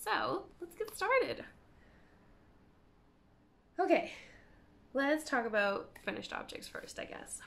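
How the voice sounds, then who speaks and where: A woman in her thirties from Canada hosting a knitting video podcast, chatting casually and meandering, hoarse from a cold. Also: high-pitched, sing-song, slow, long pauses, a bit bright. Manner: chatty, meandering, self-correcting. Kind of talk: casual chat to camera. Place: living room by a window, indoors.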